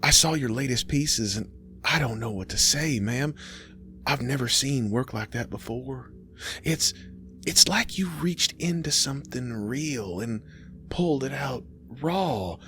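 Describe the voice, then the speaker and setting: A man's voice: medium pitch, animated, a little slow, a bit bright, a little hiss or hum, pitched above the performer's own voice. A man voicing a nervous, gushing young male admirer in his early thirties, stammering politely in a horror story. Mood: awed, grateful.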